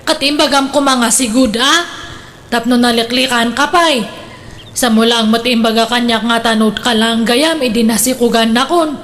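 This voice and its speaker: A woman's voice: fairly high pitch, normal speed, a bit bright, natural voice. A woman playing a discontented, envious wife in a comedic radio drama, complaining and needling.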